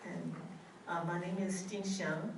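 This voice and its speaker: A middle-aged woman from Myanmar, a Buddhist nun, telling her story hesitantly with many pauses and restarts. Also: lowish voice, steady tone, vocal fry. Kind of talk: personal testimony talk. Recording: echoey room, far from mic, faint noise.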